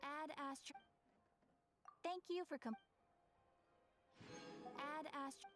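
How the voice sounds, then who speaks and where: Squeaky, bubbly little fairy girl. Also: fairly even intonation, slow. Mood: chirpy. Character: tiny, bubbly childlike female fairy companion. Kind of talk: video game voice acting. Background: game music.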